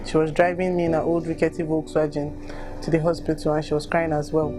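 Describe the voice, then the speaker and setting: A woman's voice: low-pitched, level tone, fairly quick. A woman with a Nigerian accent, reading personal accounts aloud and speaking candidly about them. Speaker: volunteer reader reacting to written accounts. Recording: music bed under the voice. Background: music.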